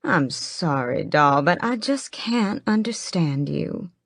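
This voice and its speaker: A sinister male vampire drawls each line slowly and with lazy mockery, voiced by a woman. His voice sits low in a dry, close sound. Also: sing-song, vocal fry, dry recording, heavily acted. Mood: mocking. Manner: drawling, mocking, unhurried.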